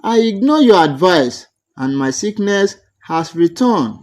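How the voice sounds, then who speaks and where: Male storyteller as sick marauder leader, folktale, weak, pleading, very slow, animated pitch. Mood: desperate.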